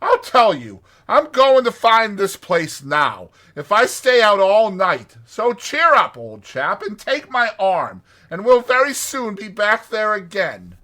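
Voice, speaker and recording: A man voicing a hearty, bossy, cheerful water rat gentleman in a dramatized storybook, speaking in brisk, commanding exclamations. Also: high-pitched, wildly swooping pitch, pitched above the performer's own voice, heavily acted. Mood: hearty, encouraging.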